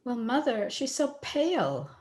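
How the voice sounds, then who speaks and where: A slightly high female voice from an actress as a lovestruck, headstrong young daughter. The delivery is earnest and a touch petulant, in old-fashioned radio-play style. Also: sing-song, measured pace, darkish tone. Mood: earnest, pleading. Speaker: actor in an amateur radio play. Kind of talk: old-time radio drama acting. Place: at home, recorded over video call.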